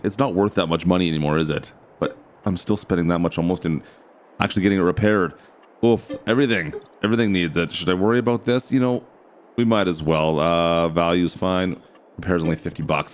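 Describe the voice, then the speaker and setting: A man with a hoarse, raspy voice casually commenting as he plays a farming game, thinking aloud and talking to his viewers. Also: deep voice. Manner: chatty, thinks aloud, addresses viewers as guys. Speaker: game channel host.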